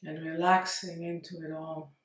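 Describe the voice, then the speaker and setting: A woman leading a guided meditation in a gentle, unhurried manner, leaving long pauses. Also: low voice, level tone. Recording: roomy echo, distant mic.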